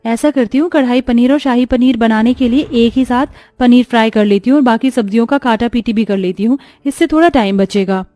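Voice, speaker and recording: A woman's voice: on the high side, brisk, few pauses, warm tone, dry recording, soft background music, close to an everyday voice. A woman playing a kind, mistreated young stepsister in a moral story, meek and pleading. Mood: anxious. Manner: pleading, submissive. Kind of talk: animated Hindi moral story acting.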